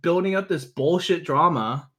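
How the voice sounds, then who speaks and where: A man chatting casually as a podcast guest, halting and restarting, swearing in exasperation. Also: on the high side, pushed and bright. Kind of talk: casual chat.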